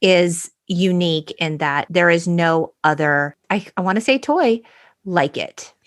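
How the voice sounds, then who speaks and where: A woman's voice: fairly low pitch, measured pace. A middle-aged woman co-hosting a podcast, chatting casually and recounting researched facts like a storyteller. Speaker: podcast co-host. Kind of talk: casual chat.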